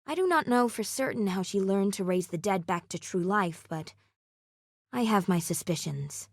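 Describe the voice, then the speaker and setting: An actress playing a solemn, secretive guardian in a video game, speaking in a measured, formal, grave way. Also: female voice, mid-range voice, expressive, no echo, clean studio sound. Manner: measured, formal, grave delivery without casual contractions. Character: solemn, secretive female guardian hunting an immortal crime syndicate. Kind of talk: scripted video game character acting.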